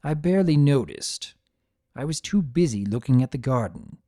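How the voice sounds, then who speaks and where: Trapped chaos spirit, read by a performer: mellow, wry, theatrical first-person narrator, animated melody. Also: medium pitch, normal speed, no echo, studio quality, close to an everyday voice. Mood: bewildered, musing. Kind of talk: first-person dramatic storytelling.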